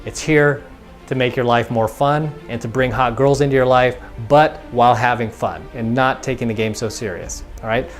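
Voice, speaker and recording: Guy coaching dating tips, laid back, repeats himself a lot, plain mid voice. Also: mid-range voice. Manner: casual, repetitive, acts out quoted dialogue. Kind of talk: instructional monologue.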